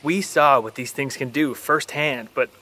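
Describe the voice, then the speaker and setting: A man's voice: medium pitch, unhurried, sharp tone. An actor playing an affectionate father and dedicated scientist in a naturalistic audio drama. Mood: reflective, warm.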